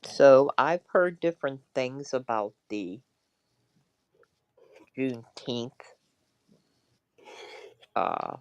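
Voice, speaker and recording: A woman hosting a casual political discussion, speaking in a halting, deliberate way. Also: extremely low pitch, expressive, a little mellow, clear, resonant tone, dull audio. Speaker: talk show host.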